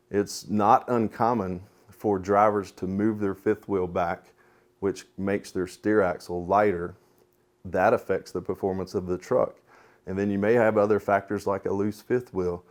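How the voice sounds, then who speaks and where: A man with a low voice speaks as an instructor in a training talk. He is plain-spoken and methodical, laying out his explanation step by step. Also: a little mellow. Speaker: police sergeant and crash investigator, instructor.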